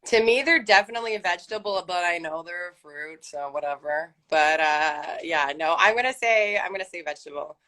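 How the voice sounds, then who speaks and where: Relaxed, laughing woman guest. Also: fairly quick, few pauses. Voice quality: calls her own voice deep. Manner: relaxed, laughs often, rambling asides. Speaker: guest actress. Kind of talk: casual video chat interview.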